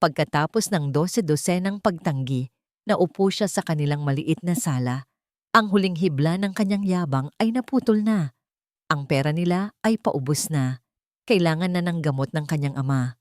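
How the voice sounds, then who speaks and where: A woman's voice: deep voice, moderate pace, no echo, studio quality. A woman with a Filipino accent giving a scripted, dramatic story narration.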